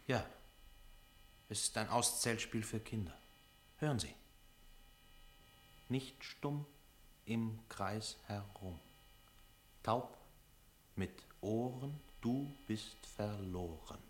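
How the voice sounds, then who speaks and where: An actor playing an eerie, cryptic stranger in a radio play, hushed, halting and incantatory. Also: male voice, on the low side, lively intonation, slight background noise. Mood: eerie, dreamy. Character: eerie male stranger taken for mute, dreamy and cryptic.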